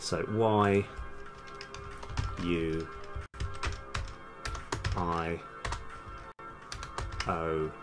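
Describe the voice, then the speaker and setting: A man with a low voice talks himself through code on a casual livestream. He mutters terms in fragments as he works, close to a desk microphone. Clear music plays behind him along with keyboard typing. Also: fairly even intonation, drawn-out words, long pauses. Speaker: game developer live-streaming his coding. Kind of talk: casual coding livestream, thinking aloud. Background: background music, computer keyboard typing.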